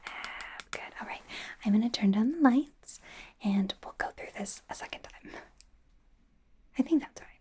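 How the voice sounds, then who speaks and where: A woman voicing a bumbling, eager werewolf doctor in training in a soft breathy whisper, stammering through an ASMR exam roleplay. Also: fairly high pitch, animated, normal speed, long pauses, natural voice. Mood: gentle, encouraging. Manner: hesitant, stammering, softly flustered. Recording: faint background noise, close-up whispering.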